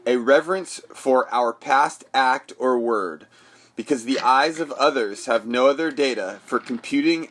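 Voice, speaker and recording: A man's voice: mid-range voice, fairly bright tone. A man recording a personal voice memo, reflecting and reading aloud in a thoughtful way. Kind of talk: casual reflection, then reading an essay aloud.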